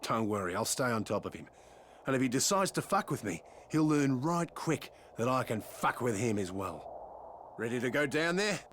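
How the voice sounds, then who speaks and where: A man playing a tough, steady survivor ally, blunt and assured, in a video game cutscene. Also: mid-range voice, moderate pace, background noise, natural voice.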